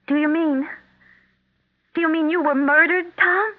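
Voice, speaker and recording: A woman's voice: high voice, fairly even intonation, a little slow. An actress playing a nervous, hesitant, easily frightened woman in a 1970s radio drama. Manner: hesitant, anxious. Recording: muffled, narrow-band 1970s radio broadcast.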